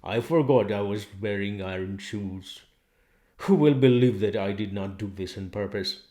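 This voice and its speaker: Man voicing a gentle, anguished cart horse. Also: on the low side, close to an everyday voice. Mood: tearful remorse. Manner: slow, earnest, anguished. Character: big, gentle, remorseful male cart horse.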